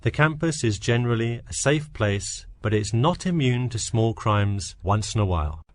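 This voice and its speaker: A man playing an earnest campus safety officer, giving a measured, instructive scripted talk to students. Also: medium pitch, expressive, no room sound, lightly acted. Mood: advisory, earnest.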